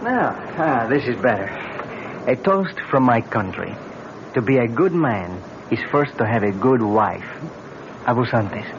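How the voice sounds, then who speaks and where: An actor playing a grave, courteous young foreign prince in a radio drama, with formal, measured phrasing. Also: male voice, medium pitch, expressive. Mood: guarded. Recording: dull, noisy old radio.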